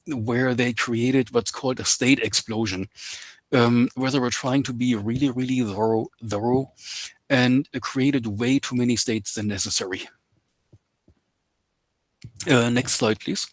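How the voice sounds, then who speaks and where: A man giving a guest lecture over a video call, speaking English as a second language, filling pauses with lots of ums. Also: medium pitch, dry recording. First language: not English. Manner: hesitant, many ums and uhs. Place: home in Canada.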